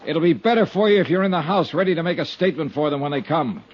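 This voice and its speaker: An actor playing a calm, firm male insurance investigator who narrates in a steady, clipped way and is firm when he confronts someone, in 1950s radio drama style, on a muffled old broadcast with music. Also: fairly high pitch, fast. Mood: confronting. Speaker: narrator and lead.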